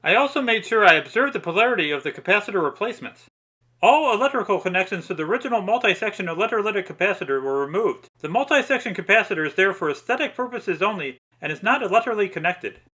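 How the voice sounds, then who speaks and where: A man's voice: sits high, lively intonation, quick pace, sharp tone, husky. A man reading a scripted step-by-step restoration narration in precise, methodical phrasing. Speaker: narrator of a radio restoration video.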